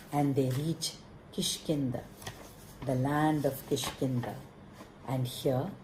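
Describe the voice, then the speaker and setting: A woman narrating a puppet-show story in measured, short dramatic phrases. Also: very deep voice, dark tone, slight background noise. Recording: roomy echo.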